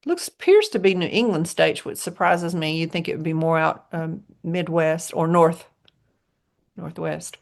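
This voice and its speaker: Woman host, lowish gravelly voice, chatty and quick to laugh. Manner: chatty, laughs often, trails off. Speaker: podcast host. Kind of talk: casual chat.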